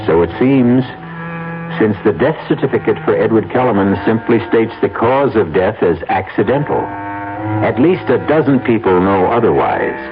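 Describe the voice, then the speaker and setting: A man narrating a mystery in a dramatic, measured, ominous scripted style as host. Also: fairly low pitch, animated. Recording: muffled old radio broadcast. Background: music.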